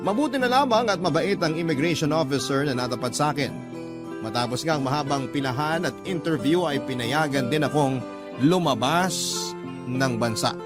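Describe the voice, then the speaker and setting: A man's voice: mid-range voice, close to an everyday voice. An actor playing an earnest young Filipino man narrating his own story in a scripted radio drama style, with a Filipino accent. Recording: music bed under narration.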